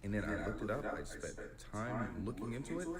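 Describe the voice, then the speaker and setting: Fast-talking guy debunking stuff, low voice, loud crowd behind. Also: lively intonation, roomy sound. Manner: exasperated, rapid-fire. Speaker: social media commentator. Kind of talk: fast ranting reaction video.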